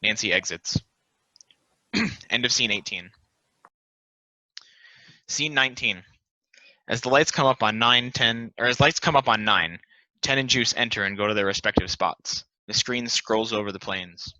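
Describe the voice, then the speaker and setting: A man's voice: lowish voice, a little slow, long pauses, sharp tone, no room sound. A man reading scripted stage directions as narration at a table read. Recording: dull, lo-fi close dry recording.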